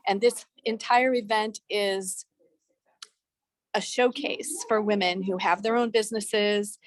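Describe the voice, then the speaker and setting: A woman's voice: mid-range voice, dry recording, low-quality recording. Woman, middle-aged, earnest, video call, dry sound. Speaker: panelist on a talk show. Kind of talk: casual video-call talk. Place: busy event venue.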